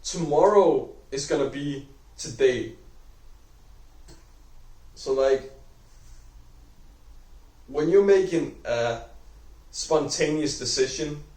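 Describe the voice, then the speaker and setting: A young man with a Danish accent talks unscripted to a camera, rambling and filling every pause with "like" while he corrects himself. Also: a little high, a little mellow, recorded from a distance. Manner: rambling, heavy use of "like", self-correcting. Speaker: vlogger. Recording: echoey room, voice off-mic.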